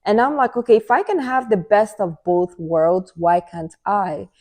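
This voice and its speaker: A woman's voice: medium pitch, a little slow, a little mellow. A Nigerian woman in her thirties co-hosting a podcast, talking earnestly and giving advice in a casual chat. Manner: earnest, advising, repeats and finishes the other's phrases. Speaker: podcast co-host.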